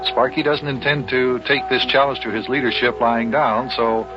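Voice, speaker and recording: A man's voice: mid-range voice, fairly even intonation, moderate pace. A man hosting and narrating a children's radio serial in a 1950s scripted style, announcing to young listeners. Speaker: host and narrator. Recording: muffled old radio recording, music behind.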